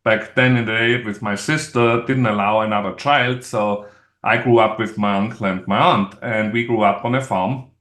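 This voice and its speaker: A middle-aged man with an Austrian accent, a native German speaker, talking as an interview guest in a halting, explanatory way. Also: fairly low pitch, fairly even intonation, a bit bright. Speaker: podcast guest, engineer. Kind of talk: conversational interview. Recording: roomy, echoey sound.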